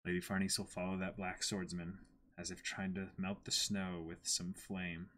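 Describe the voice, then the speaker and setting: A man's voice: low-pitched, level tone, moderate pace, pushed and bright. A man in his twenties who switches between reading a story aloud dramatically and chatting casually about it. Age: 20s. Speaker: host reading a manga aloud and reacting. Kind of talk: dramatic reading mixed with casual reaction chat. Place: small indoor room against a plain backdrop.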